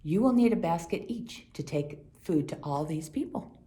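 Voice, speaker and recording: A kindly, wise teacher from a children's Bible storybook, read by a woman. The speech is gentle and instructive, in a mellow voice with animated rises and falls. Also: fairly low pitch, moderate pace, natural voice. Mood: gently instructing.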